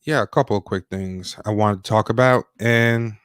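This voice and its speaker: Low-voiced man chatting on a livestream. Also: fairly even intonation, clear, resonant tone, dry recording, clean studio sound. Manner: rambling, halting, self-interrupting. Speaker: livestream host. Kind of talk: casual chat, news commentary.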